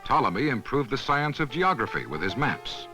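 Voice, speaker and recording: A man reads scripted documentary narration in a measured, instructional manner, as on a 1970s educational film soundtrack. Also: mid-range voice, very animated, sharp tone, soft background music.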